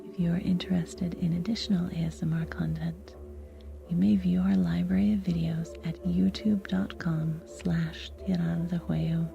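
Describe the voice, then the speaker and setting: A woman's voice: fairly low pitch, little pitch movement, normal speed, creaky. A woman whispering a scripted ASMR podcast outro as the announcer. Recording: muffled, with music behind. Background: theme music.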